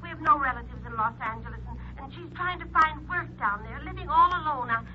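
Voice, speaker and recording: A high, flustered woman's voice on a muffled, noisy old radio drama recording. An actress plays an anxious small-town mother who stammers and hesitates as she talks. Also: fairly quick, natural voice. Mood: worried. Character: anxious small-town mother phoning about missing daughter. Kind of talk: 1940s radio drama acting.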